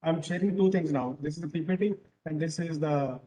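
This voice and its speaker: Punjabi-accented man lecturing, halting. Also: on the high side, mellow, rough recording. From Punjab, India. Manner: hesitant, many filler pauses. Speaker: guest lecturer. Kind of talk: online lecture. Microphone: video call.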